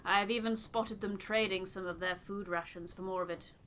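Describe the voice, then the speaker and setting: A woman's voice: mid-range voice, steady tone, brisk, a bit bright, slight background noise, natural voice. A woman voicing a stiff, aloof male scientist in a game, speaking in a formal, clipped, precise way. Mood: grave, formal.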